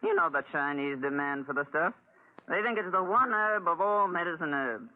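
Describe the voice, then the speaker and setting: A woman's voice: fairly low pitch, expressive, moderate pace, close to an everyday voice. A brash middle-aged woman who runs a dockside bar, acted by a woman in a 1940s radio drama. She is nosy and coaxing, with theatrical, widely swinging intonation. The sound is a thin, narrow old broadcast. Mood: coaxing, scheming. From United States. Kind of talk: 1940s radio adventure acting. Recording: tinny 1940s radio recording.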